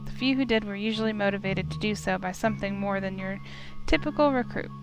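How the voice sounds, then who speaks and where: A woman's voice: mid-range voice, fast, poor audio. Woman narrating a story over music. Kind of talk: scripted visual novel narration.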